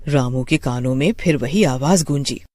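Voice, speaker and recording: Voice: mid-range voice, moderate pace, darkish tone, no echo. A narrator reading a scripted horror story.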